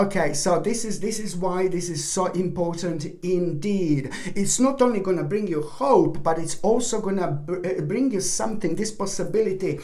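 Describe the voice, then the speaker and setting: A woman with a voice giving a rambling tarot horoscope reading, often restarting and apologizing, while losing her voice and clearing her throat. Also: mid-range voice, a little mellow. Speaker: tarot reader.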